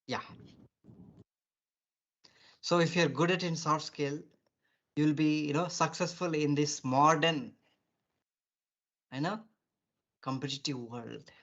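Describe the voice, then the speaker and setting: A man's voice: a little high, fairly even intonation, slow, long pauses, a bit bright. Brief: male teacher, rambling lecture full of "you know", dull lo-fi sound. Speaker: soft skills teacher. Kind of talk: online class lecture. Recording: dull lo-fi audio.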